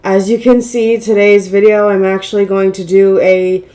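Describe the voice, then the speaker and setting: A woman's voice: medium pitch, level tone, normal speed, mellow, poor audio. A woman with a slightly nasal, congested voice, chatting casually and self-deprecatingly as she hosts a get-ready-with-me makeup vlog. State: mildly congested from a cold. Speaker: beauty vlogger, channel host.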